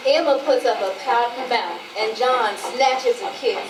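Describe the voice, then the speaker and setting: A woman's voice: on the high side, a little slow, background noise. A woman giving scripted narration, reading out a play's characters and stage directions. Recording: echoey, distant, music behind.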